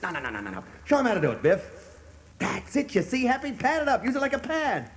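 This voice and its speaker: A man's voice: very high voice, sing-song, quick pace. An actor in a radio play voicing a boastful, cheerful American salesman father who speaks emphatically and repeats himself. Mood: jovial, encouraging.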